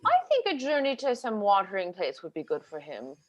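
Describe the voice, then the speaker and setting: A woman's voice: mid-range voice, sing-song, fairly quick, lo-fi sound, lightly acted. A woman playing a vain, fretful middle-aged stage actress in a play reading on a video call, theatrical in manner. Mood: exasperated.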